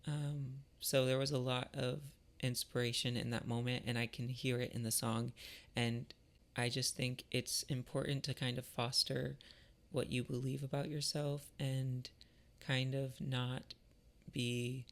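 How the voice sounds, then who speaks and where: A man talking in a casual, reflective monologue, thoughtful and halting, with a creaky voice. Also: medium pitch, level tone, fairly bright tone. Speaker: artist and musician vlogger.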